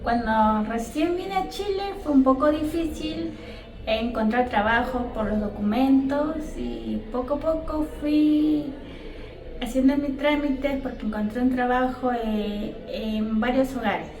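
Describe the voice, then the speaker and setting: A woman's voice: sits high, unhurried, a little mellow, faint music behind. A woman from Peru, a care home worker giving a hesitant personal testimony full of fillers. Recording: echoey room from a distance.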